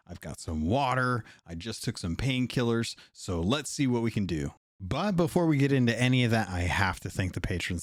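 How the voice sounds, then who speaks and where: A hoarse man hosts a casual podcast, his voice sitting a bit low and rough from a cold. He rambles along and often corrects himself mid-sentence. The sound is dry and close, with no room around it. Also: no room sound. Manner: rambling, self-correcting, thankful. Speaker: podcast host.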